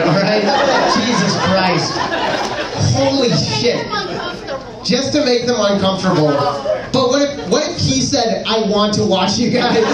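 High-voiced teenage boy doing live stand-up. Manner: casual, slangy, exclamatory delivery. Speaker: stand-up comedian. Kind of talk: stand-up comedy, conversational crowd work. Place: indoor comedy club stage. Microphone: handheld stage microphone, picked up from afar. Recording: echoey live club, distant, noisy crowd. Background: loud audience noise.

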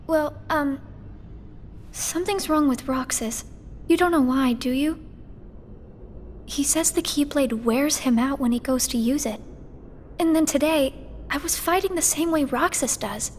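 A woman voicing a quiet, earnest teenage girl in a game cutscene, soft and gentle. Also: high-pitched, measured pace, pauses often, a little hiss or hum. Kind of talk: video game cutscene acting.

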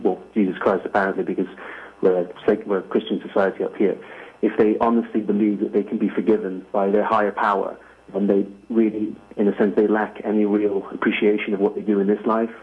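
A man from Greece phones in to a talk show, his lowish voice coming through a thin, tinny phone line. He talks hesitantly, full of fillers and restarts, with a flat, even delivery. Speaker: caller.

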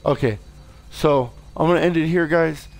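A tired, hoarse man giving casual let's play commentary, swearing and yelping at the game. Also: on the high side, normal speed. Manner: swears a lot, yelps at the game.

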